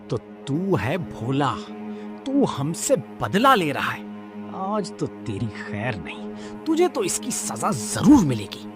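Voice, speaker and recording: Man playing a high-pitched angry village farmer. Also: heavily acted. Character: angry vengeful elder brother, village farmer. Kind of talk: cartoon moral story acting.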